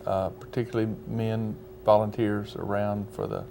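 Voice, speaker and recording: A male pastor speaking as a guest on a TV interview, measured and hesitant with many pauses. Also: on the low side, level tone, vocal fry, dark tone, slight background noise.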